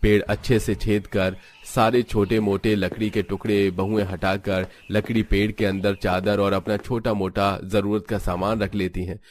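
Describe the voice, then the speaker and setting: Low-voiced man narrating a story. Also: little pitch movement, normal speed, few pauses, slight background noise. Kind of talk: scripted cartoon story narration.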